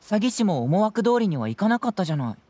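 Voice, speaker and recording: Composed, boyish young detective. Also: female voice, mid-range voice, very animated, warm tone, dry recording. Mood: calm, consoling. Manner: polished, measured, theatrical presenting. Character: clever, composed, playful young male detective. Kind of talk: Japanese game voice acting.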